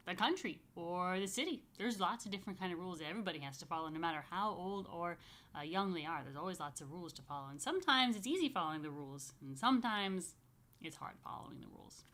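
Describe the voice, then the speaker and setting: Bright-voiced woman reading to children. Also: lowish voice, lively intonation, brisk. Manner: conversational, explanatory, stressing words for children. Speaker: rabbi reading a story to children. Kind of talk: read-aloud storytime with casual asides to young children.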